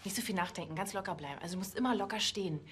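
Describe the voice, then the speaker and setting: Woman as friendly police colleague, medium pitch. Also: very fast, a bit bright, slight background noise, close to an everyday voice. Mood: encouraging. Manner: casual, encouraging. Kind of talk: naturalistic TV crime comedy acting.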